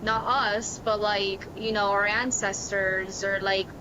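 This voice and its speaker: A woman's voice: mid-range voice, little pitch movement, slow, dull audio, background noise. A woman with an American accent talking casually as a podcast co-host. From Miami, Florida. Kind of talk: casual chat.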